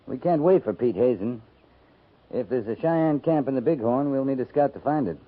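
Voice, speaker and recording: An actor playing a firm, dry-witted army captain in a 1950s radio drama, talking in a quiet, wry drawl. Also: male voice, medium pitch, moderate pace, natural voice. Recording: tinny lo-fi 1950s radio broadcast.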